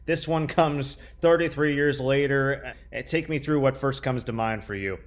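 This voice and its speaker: A man's voice: medium pitch, moderate pace. A young man hosting a conversational sports interview. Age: young adult. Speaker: interview host, sports broadcaster.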